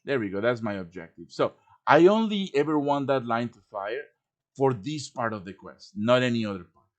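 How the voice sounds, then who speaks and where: Man casually hosting a live software tutorial. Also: mid-range voice, expressive, normal speed. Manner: informal, thinking aloud while working. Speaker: tutorial host. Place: live stream over voice chat.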